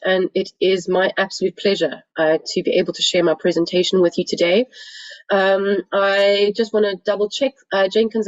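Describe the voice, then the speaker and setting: Croaky South African woman presenting online. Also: medium pitch, dry recording. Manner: earnest, polite, frequent hesitations. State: croaky from a cold. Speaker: guest speaker. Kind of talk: webinar presentation. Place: home. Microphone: computer mic on a video call. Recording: dull, dry lo-fi webinar audio.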